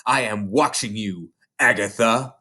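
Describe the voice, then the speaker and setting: A reader voicing a stern, threatening male ghost who speaks slowly and deliberately. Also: mid-range voice, bright, forceful tone. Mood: menacing.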